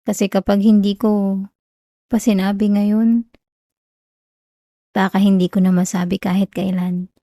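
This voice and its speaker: A young Filipino woman acting a whiny, shy tsundere college girl confessing love in a soft, hesitant ASMR roleplay. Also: medium pitch, flat delivery, a little slow, long pauses, dry recording, clean studio sound, close to an everyday voice. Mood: wistful, tender.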